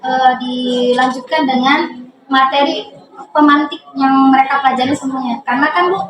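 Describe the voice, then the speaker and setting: A woman's voice: high voice, steady tone, normal speed, music in the background. A woman answers interview questions as a teacher, explaining step by step with some hesitation. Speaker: English teacher being interviewed. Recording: echoey room, distant mic, background noise.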